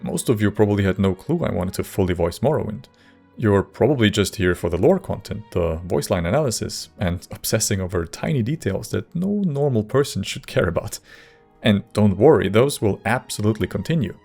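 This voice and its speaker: A man giving scripted video narration in a measured way with dry, wry humour. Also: fairly low pitch, lively intonation, gravelly, warm tone, breathy edge. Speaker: video creator and modder. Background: faint music.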